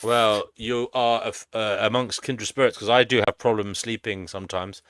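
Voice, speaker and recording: A man's voice: lowish voice, fairly even intonation, moderate pace, a bit bright, smooth, no room sound. A man hosting a live panel stream, chatting casually and informally with guests.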